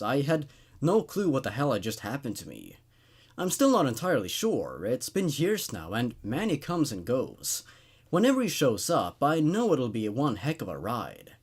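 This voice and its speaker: A man's voice: medium pitch, slightly rough. A man hosting a laid-back horror story show, reading and commenting in an informal, conversational way. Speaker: host reading and reviewing horror stories.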